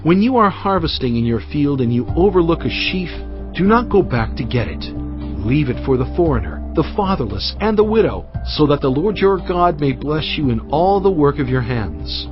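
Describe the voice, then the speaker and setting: A man narrating scripture in a solemn, measured and emphatic dramatized reading. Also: on the high side, very animated. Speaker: Bible narrator. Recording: dull audio with music bed.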